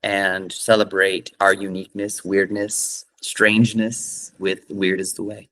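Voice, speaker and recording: A man's voice: sits low, level tone, unhurried, sharp tone. A man from California, a singer-songwriter answering interview questions in an earnest way, with many fillers and restarts.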